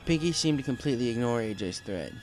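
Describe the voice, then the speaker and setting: A male narrator with a medium-pitched voice. He reads scripted fan fiction aloud, telling the story in prose between the characters' lines. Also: animated, fast talker, faint music behind.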